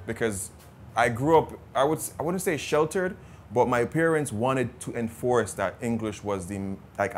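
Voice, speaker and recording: A Jamaican man chatting casually as a travel vlogger, relaxed and talkative with some stammered restarts. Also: medium pitch, a little hiss or hum. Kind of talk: casual travel vlog chat.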